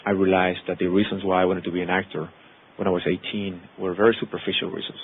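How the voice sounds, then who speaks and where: A man's voice: low voice, flat delivery, quick pace, slight background noise. A man from Mexico in his thirties, Spanish native, with a Mexican accent, giving an earnest personal testimony to an audience. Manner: earnest, lists words in long runs. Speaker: actor and producer speaking to an audience. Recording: tinny phone-like sound.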